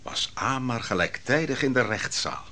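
An actor playing a young prophet who sharply questions witnesses and challenges a judge in an old radio drama. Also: male voice, mid-range voice, lively intonation, normal speed. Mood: commanding. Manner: sharp, commanding, interrogating. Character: young prophet defending the accused, commanding. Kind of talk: 1960s Dutch radio drama. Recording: reverberant old radio recording.

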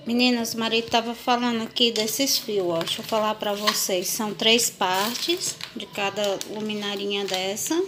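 A woman's voice: medium pitch, fairly even intonation, moderate pace, hardly any pauses, noisy background. A woman with a Brazilian accent chatting casually to viewers as a home vlogger, informally showing and explaining things as they go. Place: home kitchen, indoors. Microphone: handheld phone or camera, held by the speaker.

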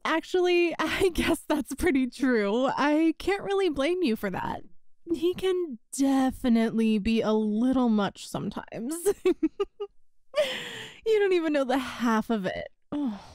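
A friend's older sister, acted by a woman in a roleplay audio, talks in a fairly high voice. She is casual and chatty, with a teasing, giggly manner that covers a secret fondness. The sound is dry, with no room echo. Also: lively intonation, unhurried, darkish tone. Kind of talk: roleplay audio acting, one side of a conversation.

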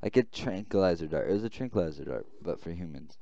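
A man's voice: low voice, expressive, fast talker, gravelly, warm tone, no echo, lo-fi sound. A man doing casual let's play commentary as a gaming host, reacting aloud as he plays. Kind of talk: let's play commentary, reading visual novel text aloud. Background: game music.

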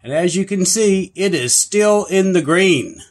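A man's voice: sits high, expressive, unhurried. A man giving a casual product review and demonstration in a plain-spoken, earnest way, repeating himself for emphasis. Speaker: product reviewer and channel host. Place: carpeted room indoors.

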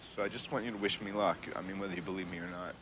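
A weary, troubled grown son played by a male actor pleads in a film drama. His voice is lowish and subdued, halting and earnest. Faint noise runs behind it. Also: steady tone, close to an everyday voice. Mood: pleading.

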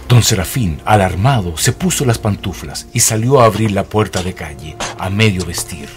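A man's voice: sits low, expressive, normal speed, soft background music. A Chilean man narrating a wry radio play in a dramatic storytelling style.

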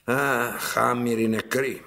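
An actor playing a domineering, self-pitying old blind man in a radio drama, raspy and gravelly, imperious and dramatic, repeating his phrases. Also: mid-range voice, little pitch movement, bright, forceful tone, slight background noise. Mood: weary, brooding. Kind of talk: theatrical radio drama acting.

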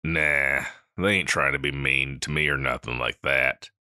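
A man playing a scruffy, insecure slacker catboy pet in a comedic audio roleplay, with a halting, mumbly dude drawl. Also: very deep voice, steady tone, pushed and bright, clear, resonant tone, no room sound, clean studio sound, full character voice. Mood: sheepish, insecure.

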